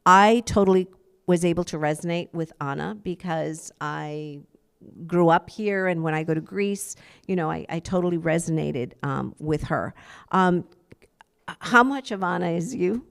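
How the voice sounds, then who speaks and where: A woman interviewing an author at a book event, with a low voice that turns strongly gravelly. She asks her questions in a friendly, hesitant way, padding them with fillers. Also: normal speed. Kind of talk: book talk conversation.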